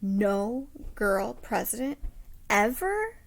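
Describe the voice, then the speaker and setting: A woman's voice: mid-range voice, very animated, very slow, vocal fry, exaggerated voice. A woman voicing an indignant, determined elementary school girl who speaks up earnestly, in a storybook reading. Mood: disbelieving.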